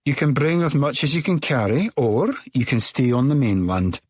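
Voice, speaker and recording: A man's voice: mid-range voice, expressive, normal speed, a little mellow, breathy edge, no room sound, voice pushed up. A man voicing a tall, weathered, middle-aged Scottish ferry woman who speaks in a curt, matter-of-fact way. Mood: brusque.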